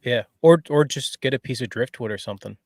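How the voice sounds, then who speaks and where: A man co-hosting a podcast, explaining his thoughts in a measured, thoughtful way. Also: medium pitch. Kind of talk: casual chat.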